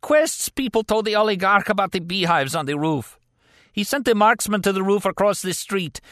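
A man's voice: high voice, animated, moderate pace, a bit bright, no room sound, clean studio sound, pitched above the performer's own voice. A man voicing a frantic, wounded foreign man in an audiobook, pleading in strained agony. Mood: agonized, pleading.

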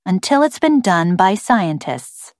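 A woman voicing an earnest, quick-witted, defiant girl in an audiobook, speaking in an assertive, argumentative way. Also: mid-range voice, big pitch swings, dry recording, studio quality. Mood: matter-of-fact. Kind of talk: audiobook character voice.

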